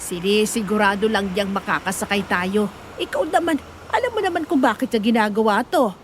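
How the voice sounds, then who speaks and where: An actress playing a fussy, caring older nanny, anxious and chatty, in an audio horror drama. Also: female voice, mid-range voice, animated, brisk, slight background noise, close to an everyday voice. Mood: worried fussing.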